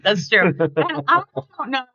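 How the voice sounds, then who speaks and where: A woman's voice: medium pitch, sing-song, measured pace, pushed and bright, dull audio. A middle-aged woman hosting a podcast, chatting casually and emphatically with family. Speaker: podcast host.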